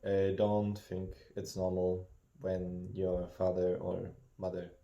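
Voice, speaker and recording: A man, co-hosting a casual chat, who talks in a halting, reflective way with many restarts. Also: low voice, completely flat delivery, vocal fry, warm tone, dull audio. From Germany. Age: 30s. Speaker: co-host. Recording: roomy echo.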